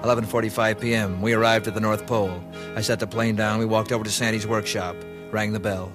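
An actor playing a terse, dogged American police detective, narrating and questioning in a deadpan, clipped manner in a radio cop parody. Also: male voice, fairly low pitch, fast, over music, lightly acted.

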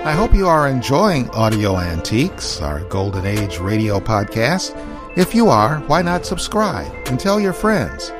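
A man's voice: mid-range voice, very animated, unhurried. A man hosting a podcast, reading a scripted outro. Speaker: podcast host. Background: music.

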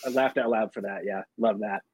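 A man's voice: on the low side, steady tone, a little fast, a little mellow, slightly rough, dry recording, studio quality. A man on a roundtable podcast panel, weighing his opinion thoughtfully and hedging. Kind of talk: casual roundtable chat.

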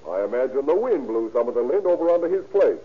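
Husky, plain-spoken witness, tinny radio. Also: male voice, mid-range voice, sing-song, fast. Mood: matter-of-fact. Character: male yarn salesman witness, plain-spoken, homely. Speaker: actor in radio crime drama. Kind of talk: 1940s radio acting. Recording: tinny narrow-band old radio recording.

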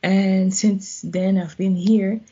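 Husky woman, chatty guest. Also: medium pitch, little pitch movement, slow pace, mellow, muffled recording. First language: French. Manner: chatty, laughs often, uses "like". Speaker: guest, volunteer. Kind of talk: casual interview answers.

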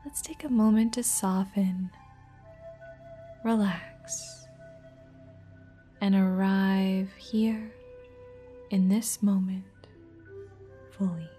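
A woman narrates a guided meditation very slowly in a soft, breathy, half-whispered voice. Her delivery is even and gentle, pausing between short phrases, with music playing behind her. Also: mid-range voice.

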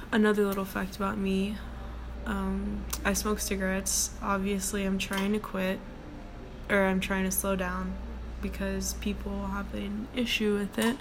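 Young woman rambling on her first podcast, deep flat voice, lots of ums, kinda noisy recording. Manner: rambling, many ums and likes, laid-back. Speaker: podcast host. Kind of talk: casual solo podcast chat. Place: at her workplace. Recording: noticeable background noise.

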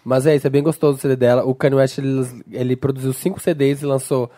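A man's voice: mid-range voice, level tone, fast, warm tone. A man in casual podcast chat, enthusiastically recommending an album.

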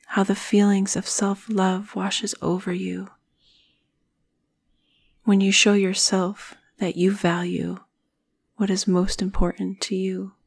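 A woman guiding a meditation in a soothing, breathy manner, with phrases often trailing off into a whisper. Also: medium pitch, flat delivery, slow pace. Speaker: meditation guide. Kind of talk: guided meditation narration.

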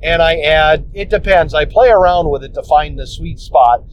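A man hosting a podcast, casually explaining how he edits his episodes, rambling with restarts and mild swearing, recorded on a phone in a car. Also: moderate pace. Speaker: podcast host. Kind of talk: casual instructional talk. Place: inside a car on the road. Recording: muffled phone recording with road noise. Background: road rumble.

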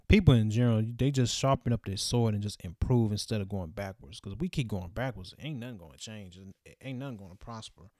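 Young man in his 20s, low mellow voice, casual rambling vlogger, dry close sound. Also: no room sound. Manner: loose, reflective, lots of fillers.

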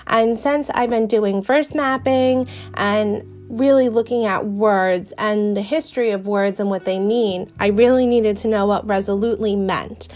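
A woman's voice: medium pitch, steady tone, measured pace, few pauses, a little mellow, soft background music. Earnest New York woman hosting a podcast. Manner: earnest and chatty, with fillers and restarts. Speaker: podcast host. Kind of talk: casual faith podcast monologue.

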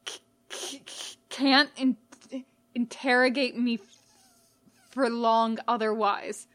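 A woman voicing an injured, wary male skeleton monster in a story, halting and snappish with a croak. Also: on the high side, steady tone, a bit bright. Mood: frightened, stammering. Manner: halting stammer, growling when frustrated.

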